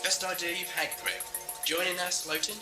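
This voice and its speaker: A man's voice: sits high, little pitch movement, normal speed, music in the background, lightly acted, poor audio. A man playing an eccentric, scatterbrained time-traveller in an audio drama, wry, breezy and flippant. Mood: brisk. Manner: wry, breezy, talking to himself. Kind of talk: fan audio drama acting.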